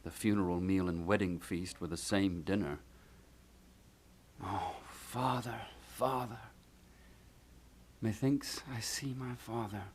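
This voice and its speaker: Guy acting a gloomy bitter young prince, deep slow voice, shakespeare puppet show. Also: fairly even intonation, a little hiss or hum. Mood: sardonic, wistful. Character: grieving, brooding young prince, bitter and sardonic.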